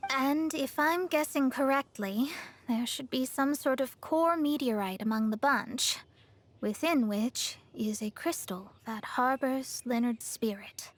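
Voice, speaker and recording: A woman's voice: high-pitched, unhurried. A woman playing a proud, self-assured young female astrologist in a video game, speaking in a haughty, articulate, explanatory way. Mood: explaining. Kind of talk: video game character acting. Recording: music behind the voice.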